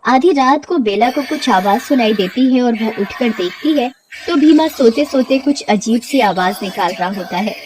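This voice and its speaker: A woman's voice: a little high, expressive, normal speed, darkish tone, slight background noise, low-quality recording. A woman narrating a scripted cartoon story as its storyteller.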